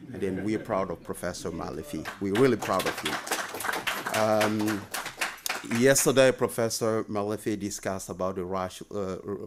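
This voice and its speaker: Man giving a low-voiced lecture. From Mali. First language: French. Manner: earnest, many "and then" links, rises in emphasis. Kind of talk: academic lecture. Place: lecture room, indoors. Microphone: desk microphone.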